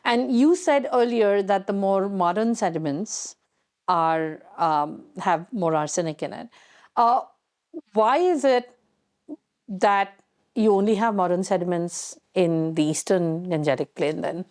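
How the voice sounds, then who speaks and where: A woman's voice: medium pitch, measured pace, darkish tone. A woman hosting a conversational technical interview, inquisitive and thinking aloud as she frames her questions. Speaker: interviewer, host.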